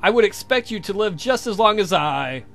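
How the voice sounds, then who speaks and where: A man's voice: high voice, expressive, normal speed, fairly bright tone, faint music behind. A man voicing a courtly, lovestruck vampire count from a visual novel game, speaking formally and earnestly. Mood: earnest reassuring. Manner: formal, verbose, confessing.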